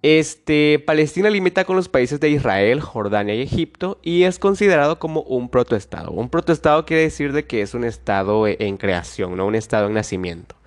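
A man's voice: medium pitch, expressive, normal speed, few pauses. A man with a stuffy nose from a cold, hosting a solo history podcast in a casual way that often adds little tag questions. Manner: casual, frequent tag questions and small restarts. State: nasal congestion from a cold. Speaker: podcast host.

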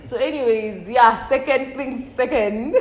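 A young woman from Uganda hosting a casual, tipsy chat with friends, animated and giggly in slangy English. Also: a little high, unhurried. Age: young adult. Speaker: podcast host. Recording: roomy echo, faint background noise.

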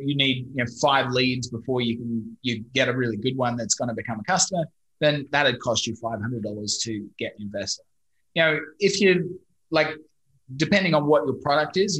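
Chatty Australian guest in his thirties, bright and forceful delivery. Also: medium pitch, low-quality recording. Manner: chatty, storytelling, mild swearing. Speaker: guest, digital marketing company owner. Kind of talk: casual podcast interview.

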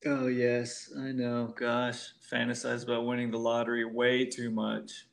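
A man in his early thirties chats casually as a podcast guest. His voice is medium in pitch, and he rambles hesitantly, dropping in mild exclamations. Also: completely flat delivery, unhurried, gravelly. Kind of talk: casual chat.

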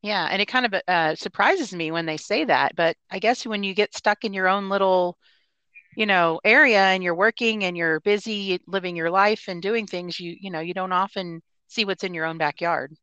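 A woman's voice: medium pitch, moderate pace, bright, forceful tone, clean tone, no echo, highs cut off. A woman from the United States hosting a podcast interview, upbeat and chatty. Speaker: podcast host.

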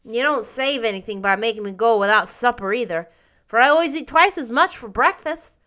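A boisterous little boy in a storybook, raising his voice to argue his case with stubborn, injured certainty. His tone is bright and a little high, a woman putting him on as she reads. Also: lively intonation, moderate pace. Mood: aggrieved. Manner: loud, indignant, argumentative.

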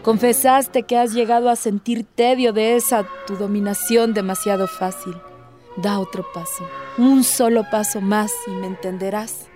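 A woman's voice: medium pitch, steady tone, normal speed, mellow, faint music behind, natural voice. An actress playing an impassioned, devout woman who pleads earnestly, with theatrical, declamatory delivery in a radio drama. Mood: earnest, tender pleading.

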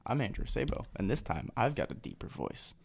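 A young man co-hosts a laid-back podcast. He rambles and jokes, scattering "like" through his speech in a mid-pitched voice. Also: big pitch swings, brisk, gravelly, slightly rough. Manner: rambling, with many "likes" and laughs. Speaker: podcast co-host. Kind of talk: casual podcast chat.

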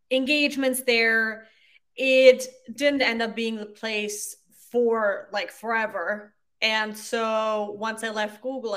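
A woman in her thirties from Austria, a native German speaker, talking through her career in a casual interview with relaxed, reflective speech. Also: medium pitch. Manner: relaxed, reflective, uses "like" and "you know" often. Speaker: podcast guest, agency founder.

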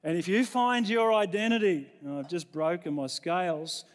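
A man's voice: high-pitched, normal speed. An Australian man, a middle-aged pastor preaching in a conversational, self-deprecating manner, building points through repeated phrases. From New South Wales, Australia. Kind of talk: sermon to a congregation. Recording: long reverberant hall sound.

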